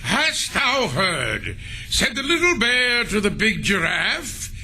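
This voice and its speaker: A hammy, pompous poet in radio comedy style. He declaims silly verse slowly, in a very high, sharply bright voice. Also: sing-song, slightly rough, soft background music, exaggerated voice. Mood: pompous, playful. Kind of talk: old-time radio comedy acting.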